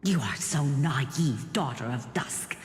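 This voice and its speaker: A woman's voice: rumbling low, lively intonation, takes it slowly, full character voice. A woman playing a stern, sorrowful priestess of a clan in a fantasy game, with a stately, accusing, dramatic delivery. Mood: sorrowful, fearful. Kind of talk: theatrical fantasy game voice acting. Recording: echoey cavernous hall reverb.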